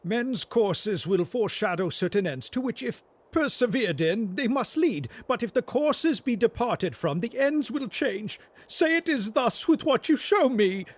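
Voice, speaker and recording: A man's voice: extremely high pitch, lively intonation, unhurried, hardly any pauses, pitched above the performer's own voice, heavily acted. A man voicing a frightened, repentant old miser in a storybook, trembling and pleading earnestly. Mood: desperate pleading. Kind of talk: dramatised storybook reading.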